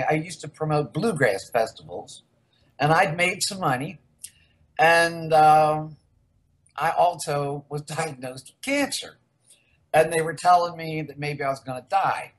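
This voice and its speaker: An older woman from the United States, a researcher guest in a casual interview, rambling candidly through her story. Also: sits low.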